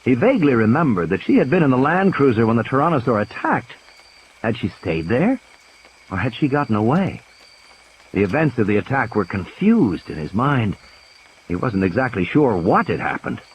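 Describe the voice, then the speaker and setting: A man reads a dramatic story as an audiobook narrator. His medium-pitched voice moves widely up and down with deliberate, suspenseful phrasing. Faint nature ambience plays behind him. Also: very animated, slight background noise, low-quality recording. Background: cricket-like night ambience sound effects.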